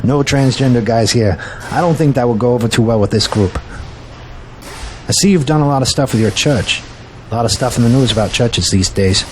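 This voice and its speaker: An actor playing a folksy, blunt auto shop owner with a dry, casual manner in an audio drama. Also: male voice, mid-range voice, fairly quick, slight background noise, natural voice. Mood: frank.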